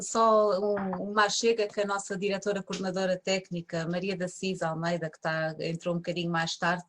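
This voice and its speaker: A woman hosting an interview, briefly affirming the guest's answers and moving on to the next question. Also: on the low side. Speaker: host. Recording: distant from the mic.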